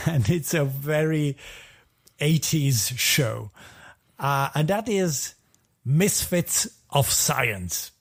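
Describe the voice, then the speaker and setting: A man with a somewhat high voice speaks as one of a podcast's hosts. His delivery is casual and conversational, as in an informal chat with a fellow host. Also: lively intonation, very slow, gravelly.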